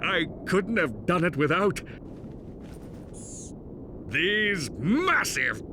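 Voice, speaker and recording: A man's voice: high-pitched, very animated, drawn-out words, noisy background, exaggerated voice. A man playing a vain, pompous space captain in a cartoonish video game, bombastic and self-admiring. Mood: boastful bravado.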